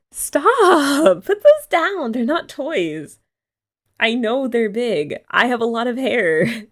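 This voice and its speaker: A woman's voice: fairly high pitch, sing-song, very slow, pauses often. A young woman chatting playfully and teasingly in a girlfriend roleplay ASMR. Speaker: ASMR creator.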